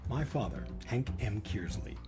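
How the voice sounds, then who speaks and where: A man's voice: lowish voice, big pitch swings, moderate pace. A man hosting and narrating a scripted radio documentary. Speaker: host and narrator. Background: music.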